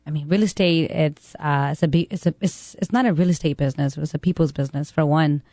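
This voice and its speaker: A woman's voice: sits low, quick pace, dark tone, no echo. Self-assured woman in her twenties, dry close sound. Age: 20s. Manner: candid, self-assured, short emphatic statements. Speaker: podcast guest, real estate agent. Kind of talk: casual interview conversation.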